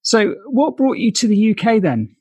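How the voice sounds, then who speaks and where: A man's voice: sits high, sing-song, moderate pace, a little mellow, breathy edge, no room sound. A middle-aged man hosting a conversational interview, casual and affirming, with short acknowledgements. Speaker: interview show host.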